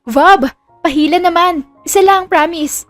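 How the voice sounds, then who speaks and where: A woman's voice: extremely high pitch, unhurried, no echo, faint music behind, voice pushed up. A woman voicing a playful, pouty young woman who whines and exclaims in an audio drama. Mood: teasing, whiny. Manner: whiny, exclaiming. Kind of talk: dramatized audio story dialogue.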